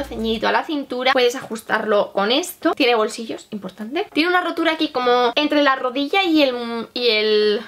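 A woman's voice: a little high, fairly bright tone. A woman in her twenties with a Castilian Spanish accent, chatting informally to camera in a casual haul vlog with rambling asides. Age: 20s. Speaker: vlogger. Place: small bedroom, indoors. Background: background music.

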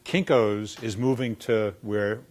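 A man in his sixties from Boston, with a Boston accent, telling wry stories in a relaxed lunchtime talk in a lecture room. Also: fairly low pitch, slow pace. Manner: wry storyteller, quoting people with punchlines. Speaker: former dean giving a talk. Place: dark lecture room in front of chalkboards.

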